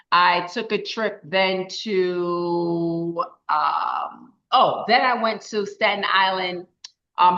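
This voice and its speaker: A middle-aged woman giving a chatty, enthusiastic spoken report at a council meeting. Her voice is raspy and tired after a long day. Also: mid-range voice, a bit bright. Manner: enthusiastic, chatty, with frequent restarts and laughs. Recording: dull lo-fi meeting recording.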